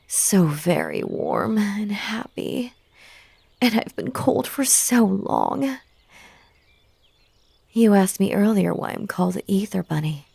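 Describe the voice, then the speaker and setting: Casting: female actor as a possessive yandere bunny girl for audio roleplay; very unhurried, creaky, coaxing and hypnotic. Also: medium pitch, darkish tone, no room sound, exaggerated voice. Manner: slow, breathy, coaxing, slipping into whispers. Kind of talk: intimate audio roleplay acting.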